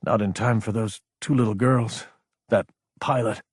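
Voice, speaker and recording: A man's voice: lowish voice, steady tone, measured pace, breathy edge, no echo, clean studio sound. A man voicing an intense, anguished young military officer in an audiobook, insubordinate and emphatic. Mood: bitter, angry. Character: young male military officer, fierce, anguished, self-doubting. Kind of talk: audiobook character acting.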